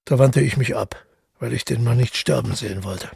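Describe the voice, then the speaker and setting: Gravelly, detached killer. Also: male voice, mid-range voice, a little mellow, no room sound, clean studio sound, exaggerated voice. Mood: intense, detached. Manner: measured, reflective, detached narration. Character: detached male killer calmly recounting his deed. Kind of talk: literary radio drama monologue. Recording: music playing under the voice.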